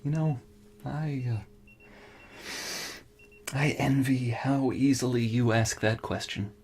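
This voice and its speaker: An actor playing an earnest, melancholy scientist who speaks haltingly and formally in a science fiction audio drama. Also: male voice, medium pitch, fairly even intonation, a little mellow, slight background noise. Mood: probing. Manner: halting, deliberate, formal wording. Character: male scientist, earnest, melancholy, cerebral, given to theorizing.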